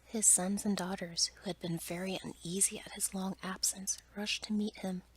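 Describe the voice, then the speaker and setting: A woman storyteller reads a bedtime tale in ASMR style. Her voice is a breathy, husky whisper, kept soft and hushed, with careful phrasing. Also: medium pitch, fairly even intonation, normal speed.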